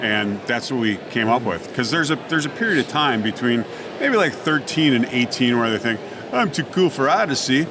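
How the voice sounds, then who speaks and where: A man's voice: medium pitch, expressive, moderate pace. A man talking casually as a podcast guest about his work as a writer. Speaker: guest, audio drama writer. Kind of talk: casual interview chat. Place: busy convention center event floor. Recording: noisy convention hall background.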